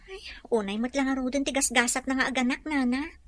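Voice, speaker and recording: Casting: woman as forthright young villager for Ilocano audio drama, high-pitched and sharp-toned. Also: fast. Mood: concerned.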